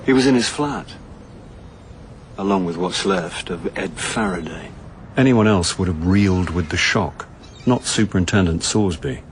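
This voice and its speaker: Low-voiced English man, acting a droll, dogged middle-aged detective, deliberate delivery, radio drama, some background noise. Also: lightly acted. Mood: quietly accusing. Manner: dry, deliberate, understated. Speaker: narrator and lead actor. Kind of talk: radio drama dialogue and first-person narration.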